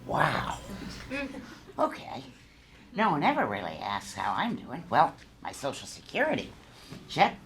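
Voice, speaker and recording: A woman playing a blunt, eccentric old widow who works in a restaurant, nostalgic and unhurried, at a live comedy table read. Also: low voice, huge pitch swings, creaky, heavily acted. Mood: wistful, grumbling. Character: blunt, crazy old widowed female restaurant worker Gertrude. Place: theater stage, live show. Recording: live stage recording, faint background noise.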